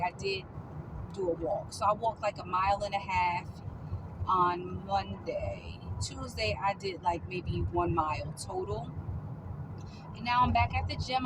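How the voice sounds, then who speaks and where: A woman's voice: mid-range voice, very noisy. A woman in her forties chatting casually and upbeat to her viewers in a fitness vlog. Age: 40s. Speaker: fitness vlogger. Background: loud music.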